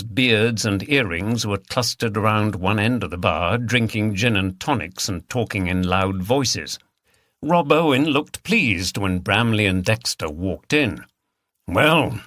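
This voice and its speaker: A man's voice: fairly low pitch, a bit bright, no room sound, studio quality. A man reading scripted mystery fiction as an audiobook narrator.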